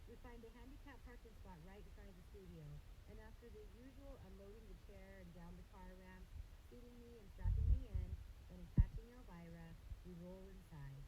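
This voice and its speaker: A woman narrates a children's novel in the first person, reading it out as a teacher does for a class. Her voice sits at a middling pitch for a woman. The delivery is that of an audiobook read for young listeners. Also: a little fast, heavy background noise.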